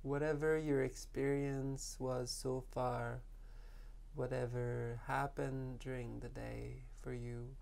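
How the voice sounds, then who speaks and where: A man leading a guided meditation as a teacher, speaking in a soft, calming way with long pauses. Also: medium pitch, gravelly.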